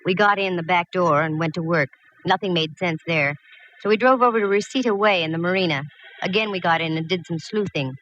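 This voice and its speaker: A woman's voice: on the low side, fairly quick, a bit bright, natural voice. An American woman playing a wisecracking, confident private eye in a vintage radio detective drama, narrating and giving orders with wry ease. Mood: cool narration. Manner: breezy, wry, commanding. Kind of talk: 1940s-50s radio detective acting and narration. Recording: muffled, noisy old radio recording.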